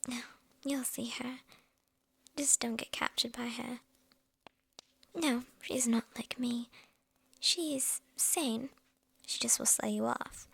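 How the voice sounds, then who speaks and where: Woman playing a slow, whispering, possessive witch. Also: on the high side, fairly even intonation, a bit bright, exaggerated voice. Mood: bossy, amused. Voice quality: breathy, mostly whispered, creaky. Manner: unhurried, coy, amused, addressing the listener intimately. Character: lonely, possessive young witch, slyly menacing and teasing. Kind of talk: whispered ASMR roleplay.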